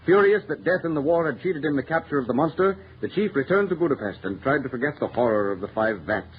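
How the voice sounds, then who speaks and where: A man's voice: fairly high pitch, lively intonation, fast. A man narrating an old-time radio mystery in a grave, theatrical manner on a muffled, hissy vintage recording.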